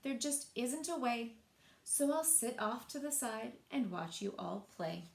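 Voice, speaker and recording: A woman's voice: on the high side, unhurried, vocal fry, reverberant. A woman voicing a dejected young male rock who sighs and has given up, in a children's storybook. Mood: dejected, resigned. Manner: sighing, mopey.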